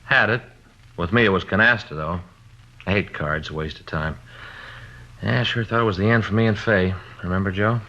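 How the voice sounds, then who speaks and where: Muffled old radio recording of a middle-aged American police detective in a radio play. His voice is low but sharp and forceful. He is folksy, chatty and sure he knows best, pressing his advice on the listener. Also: fairly quick, long pauses. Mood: chatty, confiding. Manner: folksy, insistent, know-it-all. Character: cocksure, chatty married male police detective giving advice. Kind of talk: 1950s radio drama acting. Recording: dull, band-limited old radio recording.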